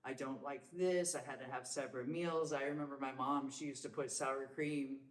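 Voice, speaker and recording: A woman's voice: a bass voice, fairly even intonation. Upbeat chatty woman podcast host, roomy sound. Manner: upbeat, chatty, quick asides. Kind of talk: casual interview chat. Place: Los Angeles. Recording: roomy echoey room.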